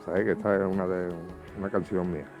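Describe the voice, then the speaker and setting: A man's voice: sits low, flat delivery, fast, a little mellow, soft background music. A man hosting a radio show, chatting casually as he presents a segment. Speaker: radio host.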